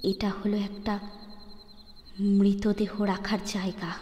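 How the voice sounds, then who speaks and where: A woman's voice: mid-range voice, level tone, a little slow, dark tone, slight background noise. A woman narrating a scripted horror story in a dramatic, suspenseful manner, phrase by phrase. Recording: echoey long reverb over clear music. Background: background music.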